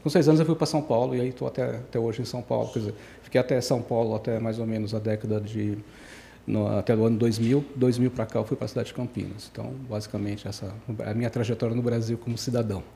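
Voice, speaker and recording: A man's voice: medium pitch, fairly even intonation, fast, vocal fry, mellow, a little hiss or hum. A man in his sixties, raised in Brazil, telling his life story as a podcast guest, rambling and correcting himself as he goes. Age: 60s. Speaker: guest engineer. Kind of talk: casual podcast interview.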